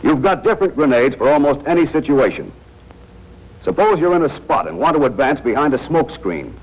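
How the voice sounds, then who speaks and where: A man's voice: medium pitch, sing-song, fairly quick, lo-fi sound. An American man narrating a 1940s military training film in a tough, wry, slangy manner, speaking directly to soldiers. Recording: noisy 1940s film soundtrack.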